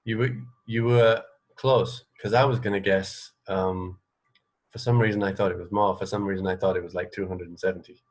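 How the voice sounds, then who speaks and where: Low-pitched English man hosting radio chat. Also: steady tone, moderate pace. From England. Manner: rambling, hesitant, self-correcting. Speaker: radio show host and interviewer. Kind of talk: casual rambling radio interview chat.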